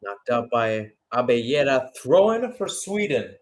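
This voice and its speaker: A man's voice: medium pitch, very animated, a little slow. Man calling live football play-by-play. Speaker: play-by-play commentator. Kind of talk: live radio-style football commentary.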